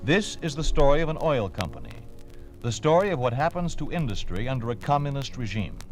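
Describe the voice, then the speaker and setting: A man's voice: medium pitch, sing-song, brisk. A man with an American accent reading scripted narration as a 1950s radio announcer. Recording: old radio recording. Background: loud dramatic music.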